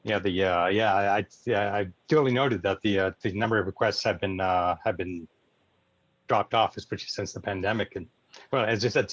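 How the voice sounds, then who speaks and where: A man chairing an informal online club meeting in a halting, hesitant way over muffled video call audio. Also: on the low side, no room sound.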